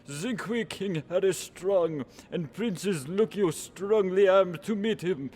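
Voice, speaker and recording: A man's voice: high-pitched, slow, a little mellow, husky, exaggerated voice. A man playing a wary, regal French king in solemn, declamatory Shakespearean radio theater. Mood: grave, fearful.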